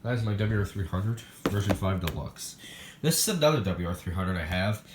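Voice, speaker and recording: A man's voice: low-pitched, expressive, brisk. A man giving a casual, unscripted show-and-tell of his collection, rambling and thinking aloud as he handles gear. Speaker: hobbyist showing a weather radio collection. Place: indoor room, recorded while handling radios. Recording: echoey room with loud background noise.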